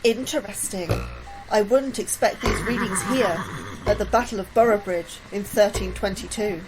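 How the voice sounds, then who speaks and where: A woman's voice: mid-range voice, moderate pace, over music, natural voice, poor audio. A woman playing an anxious, earnest science officer in a sci-fi audio drama. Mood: playful.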